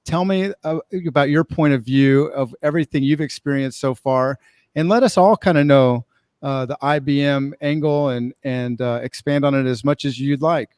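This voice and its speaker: The upbeat guy running an online industry meetup, his voice crisp and close with no room around it, keeping things casual. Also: mid-range voice, normal speed, darkish tone, no echo. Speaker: master of ceremonies of an online podcast summit. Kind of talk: casual live event hosting.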